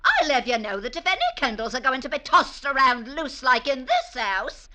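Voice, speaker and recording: A woman's voice: high-pitched, lively intonation, moderate pace, sharp tone. An actress playing a huffy, scolding British landlady in old-time radio comedy style, haughty and easily affronted. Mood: indignant, scolding. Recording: noisy vintage 1940s-50s radio recording.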